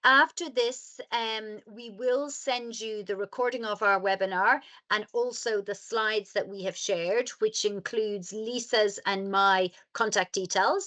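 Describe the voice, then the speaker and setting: A woman hosting a webinar, moderating in a polite, measured way. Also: a little high, fairly bright tone. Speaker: webinar host.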